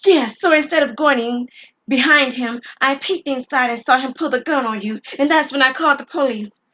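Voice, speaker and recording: A woman's voice: high voice, moderate pace, bright, forceful tone, muffled recording, pitched above the performer's own voice. A woman voicing a caring, earnest lady who prays and protects, in an audio drama. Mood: urgent, earnest. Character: caring praying lady, mysterious guardian angel.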